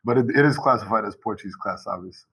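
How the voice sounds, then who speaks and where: A man who teaches high school Portuguese, talking casually and at length on a video call from his school, with many restarts and asides. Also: fast talker, bright, forceful tone, breathy edge, rough recording.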